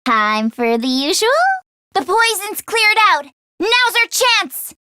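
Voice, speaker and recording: A woman voicing a nervous, expressive teenage girl fighter in an English video game, with casual youthful phrasing. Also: very high voice, slow, a little hiss or hum, heavily acted. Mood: determined.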